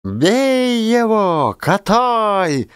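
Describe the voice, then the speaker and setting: A hot-headed village loudmouth, played by a male reader in a dramatized storybook. He yells in rough peasant speech, pitched high and swinging wildly. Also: sing-song, extremely slow, no echo, clean studio sound, heavily acted. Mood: frenzied, goading.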